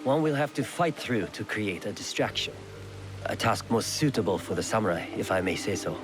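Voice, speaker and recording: A man plays a courteous feudal Japanese lord in a game cutscene. His voice sits low and his speech is formal and measured, with cautious regret. Music plays clearly behind him. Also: lively intonation, close to an everyday voice. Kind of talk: video game cutscene dialogue.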